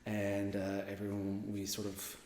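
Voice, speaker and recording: A man chats casually in an interview. His voice is on the low side, recorded in a very echoey hall with long reverb. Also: monotone, a little slow, a little mellow. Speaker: radio drama writer and performer.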